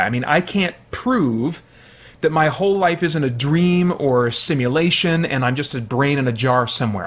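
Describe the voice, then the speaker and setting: A man hosting a video, giving analytical commentary in a conversational, explanatory way. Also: a little high. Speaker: video host.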